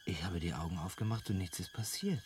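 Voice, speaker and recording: An actor playing a bewildered, grumbling ordinary earthman in a German radio drama, with a dry, hesitant, deadpan delivery. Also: low-pitched, fairly quick, background noise, natural voice. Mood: groggy, dry.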